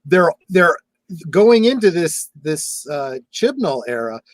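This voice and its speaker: A man talking in a casual fan panel discussion, earnest and measured, often restarting his sentences. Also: fairly high pitch, sing-song, no echo.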